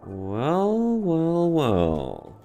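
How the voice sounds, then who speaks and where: A man giving casual, halting reactions while playing a video game, muttering short comments. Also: on the high side, wildly swooping pitch, warm tone, faint music behind.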